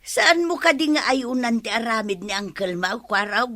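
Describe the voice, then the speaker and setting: Needling gossip, bright, dry close sound. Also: mid-range voice, lively intonation, moderate pace, no room sound. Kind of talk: radio comedy drama acting.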